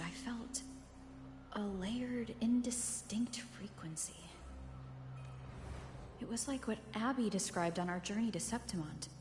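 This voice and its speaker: A woman voicing a calm, thoughtful young female wandering adventurer in video game dialogue, with echoey reverberant sound. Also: mid-range voice, natural voice.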